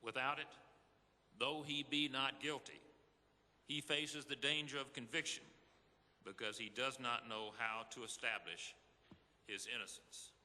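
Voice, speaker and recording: A man playing an earnest, formal Supreme Court justice in a scripted, deliberate, oratorical reading for a live re-enactment. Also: mid-range voice, fairly even intonation, sharp tone. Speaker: reader in a readers theater re-enactment. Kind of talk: scripted dramatic reading to an audience. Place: large hall before an audience. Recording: echoey hall with long reverb.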